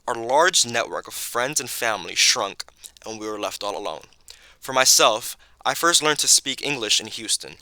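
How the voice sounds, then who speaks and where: A young man from Colombia reads his personal story aloud, earnest and measured. His voice is strikingly bright, sitting at an ordinary pitch for a man. The recording is dry, with no room sound. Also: no room sound, low-quality recording. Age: 20s. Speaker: narrator of his own migration story. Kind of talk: read personal narration.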